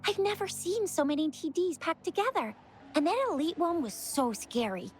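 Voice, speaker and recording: A very high-pitched bubbly young girl. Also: normal speed, a little hiss or hum. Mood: cheerful, friendly. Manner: excitable, chirpy. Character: bubbly, eager, friendly young girl adventurer. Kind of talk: video game voice acting.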